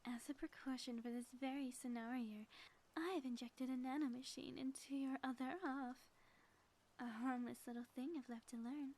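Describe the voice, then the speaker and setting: Woman playing a grandiose, high-voiced female supervillain. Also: steady tone, darkish tone, poor audio. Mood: smug, theatrical. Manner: grandiose, teasing, dramatic pauses. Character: theatrical, gloating female supervillain playfully taunting a superhero. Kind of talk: scripted audio roleplay, ASMR.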